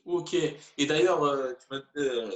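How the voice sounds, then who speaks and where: A man's voice: on the high side, a little slow. Hesitant male interviewer in a roomy space. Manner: hesitant, many fillers and restarts. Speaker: YouTube channel host, interviewer. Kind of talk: casual interview.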